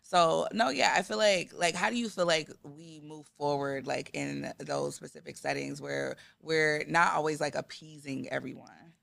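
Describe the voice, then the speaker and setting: American woman host, 30s, chatty and casual, bright voice with strong creak. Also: low voice, steady tone, moderate pace, hardly any pauses, no room sound. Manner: chatty, frequent "like" asides. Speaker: podcast host. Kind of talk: casual interview conversation.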